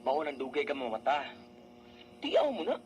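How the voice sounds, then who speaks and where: A man's voice: fairly high pitch, wildly swooping pitch, normal speed, darkish tone, lo-fi sound, slight background noise. A man playing a blunt, teasing older brother figure with a Filipino Bisaya accent in a radio drama. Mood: chiding.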